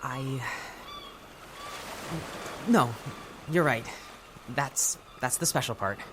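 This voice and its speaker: A lovestruck, awkward young crewman in an audio drama. He sounds hesitant and sheepish, with jumpy, animated pitch over clearly audible outdoor ambience. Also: very slow, background noise. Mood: flustered, sheepish.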